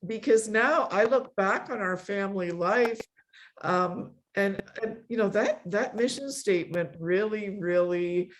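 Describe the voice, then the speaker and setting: A woman's voice: medium pitch, rough recording. A middle-aged woman from a Polish immigrant family, hosting an online meetup in a casual, effusive, emphatic way. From born in Poland, grew up in a Polish immigrant family. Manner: effusive, emphatic repetition, quick to laugh. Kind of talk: casual chat, thanking a guest.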